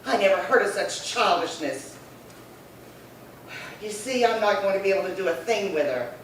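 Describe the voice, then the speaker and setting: A woman's voice: mid-range voice, expressive, normal speed, lightly acted. Woman acting a bossy, scandalized sister, echoey. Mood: scolding. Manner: scolding, emphatic, repeats herself. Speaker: actor. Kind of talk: old-time radio crime drama acting. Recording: very echoey room, distant mic, faint noise.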